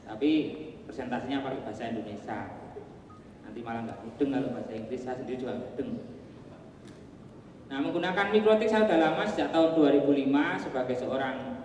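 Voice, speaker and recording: A man with a Javanese accent giving a relaxed conference talk, with joking asides and plenty of fillers. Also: medium pitch, slight background noise. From Java. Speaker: conference presenter. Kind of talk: casual technical presentation. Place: large hall. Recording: echoey hall, voice from far off.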